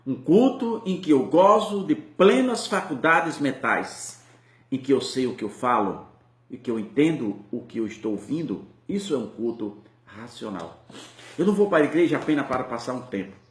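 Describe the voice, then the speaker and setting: A man's voice: mid-range voice, echoey room. A man with a Brazilian Portuguese accent preaching a sermon with impassioned, rising and falling delivery.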